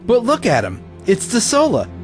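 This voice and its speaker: A man voices a young American private who cannot shake what he saw. His voice is high and jumps about, urgent and insistent. Music plays beneath the audio drama. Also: huge pitch swings, normal speed.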